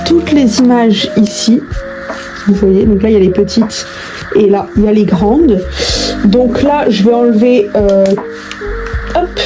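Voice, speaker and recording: A woman talks casually to her viewers while working with her hands. Her pitch is average for a woman, and her delivery is informal and hesitant, with trailing phrases and repeats. Music plays clearly behind her voice. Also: measured pace, long pauses, low-quality recording. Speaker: video host doing a craft tutorial. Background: background music.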